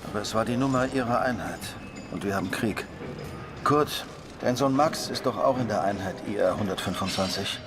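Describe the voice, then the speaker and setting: A man's voice: on the low side, a little fast, lightly acted. An actor playing a grave, concerned factory man in a dramatic video game scene. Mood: worried. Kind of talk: dramatic game dialogue in German. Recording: long hall reverb, faint music.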